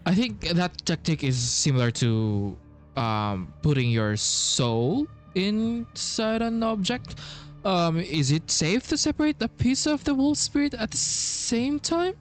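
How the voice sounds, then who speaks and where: Male gaming creator: loose, slangy reactions, lively pitch, unhurried, soft music behind. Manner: slangy, trailing half-sentences. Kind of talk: casual gameplay reaction commentary.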